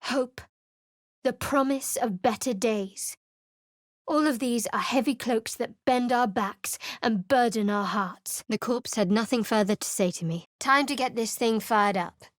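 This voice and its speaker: A woman voicing a guarded, sardonic young cleric of a dark goddess in a fantasy game, speaking in a dry and wry way. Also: a little high, unhurried, no room sound, natural voice. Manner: dry, wry and cool, with sly sarcasm. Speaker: party companion in a fantasy video game. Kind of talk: recorded game voice lines. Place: studio booth. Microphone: close studio mic.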